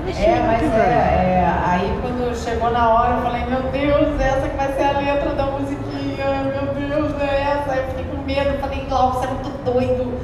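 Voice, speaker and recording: A woman's voice: high-pitched, little pitch movement, normal speed, few pauses. A woman with a Brazilian Portuguese accent, a voice actress speaking candidly and self-deprecatingly on a convention panel. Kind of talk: casual panel talk. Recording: echoey hall from far off, crowd noise.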